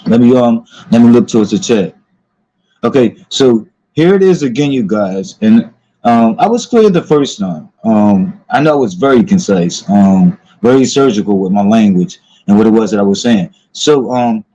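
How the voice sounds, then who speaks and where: A man's voice: medium pitch, dark tone. A man hosting a livestream, talking casually to his viewers with lots of fillers and restarts. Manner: rambling, many fillers, addresses viewers. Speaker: streamer host. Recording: dull lo-fi sound.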